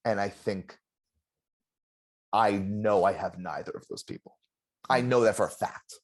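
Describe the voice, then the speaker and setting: A former game contestant in a casual exit interview, telling stories in an animated, self-deprecating way with a loud voice. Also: low-pitched, unhurried. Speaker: eliminated contestant, juror.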